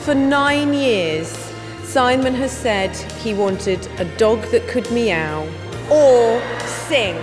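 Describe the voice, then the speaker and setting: High, lively woman judge. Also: slow pace. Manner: enthusiastic praise. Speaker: talent show judge. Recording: theatre with music and crowd.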